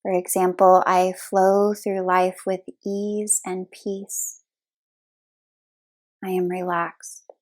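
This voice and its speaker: Woman, yoga nidra instructor, guided meditation, very slow pace, flat steady intonation, soothing, long pauses. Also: lowish voice. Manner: soothing, unhurried, short phrases with long pauses.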